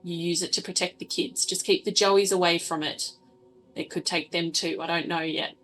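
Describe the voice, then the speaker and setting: A woman's voice: on the low side, steady tone, normal speed, fairly bright tone, faint music behind, poor audio. A woman playing a blunt, commanding female wasteland survivor in a tabletop game, giving firm orders. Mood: grimly vengeful. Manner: firm, imperative. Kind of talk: tabletop roleplaying character voice.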